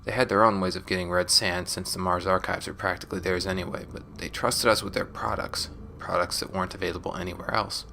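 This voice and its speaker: Low, subdued, world-weary audio diarist played by a man. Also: fairly even intonation, fast talker, gravelly, few pauses, pushed and bright, a little hiss or hum, exaggerated voice. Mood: somber, resigned. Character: world-weary former gang member and recovering drug addict, Vanessa. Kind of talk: scripted confessional audio log, sci-fi fan drama. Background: music.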